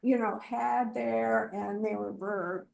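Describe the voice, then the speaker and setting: An older woman speaking reflectively and haltingly in a casual book discussion. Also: fairly high pitch, fairly even intonation, creaky, darkish tone, poor audio. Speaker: book club member.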